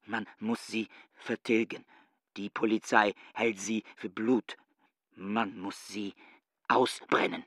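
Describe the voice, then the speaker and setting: A man's voice: fairly low pitch, little pitch movement, slow pace, a bit bright, no room sound, clean studio sound. An actor playing a haunted, obsessive and fearful man in a radio drama. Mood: brooding, obsessive. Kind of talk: German radio drama acting.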